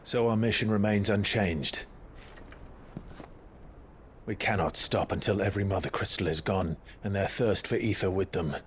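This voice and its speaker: An actor playing a grave, resolute outlaw leader in a video game cutscene, speaking in a solemn, measured way. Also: male voice, low voice, slight background noise, natural voice. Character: grave, resolute outlaw leader, deep-voiced young man. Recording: loud music behind. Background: loud music.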